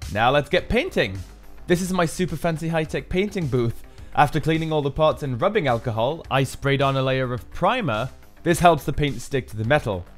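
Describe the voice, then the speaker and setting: A man's voice: a little high, lively intonation, moderate pace, soft background music. A man giving an upbeat, explanatory voice-over for a build tutorial, as the maker himself. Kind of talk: scripted tutorial narration.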